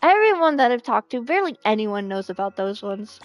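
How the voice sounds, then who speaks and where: A woman chatting casually as she livestreams a game, with a playful, expressive way of talking that readily turns to pleading and exclaiming. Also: fairly high pitch, brisk. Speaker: game streamer. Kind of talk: casual livestream chat over gameplay. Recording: music behind the voice. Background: music.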